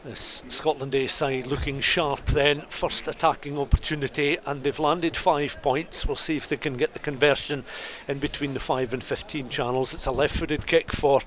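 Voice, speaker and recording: High-pitched man commentating live rugby. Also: steady tone, moderate pace, few pauses, a bit bright. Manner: descriptive, scene-setting, rising with the play. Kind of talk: live radio sports commentary. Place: commentary position at a rugby ground.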